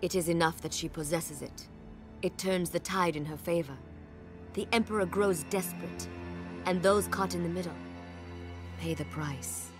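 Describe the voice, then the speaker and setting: Actress as dignified refugee woman, slightly low voice, grave, formal, measured, game cutscene. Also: over music, natural voice. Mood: solemn. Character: solemn, dignified female refugee villager from another realm. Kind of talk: dramatic video game cutscene acting.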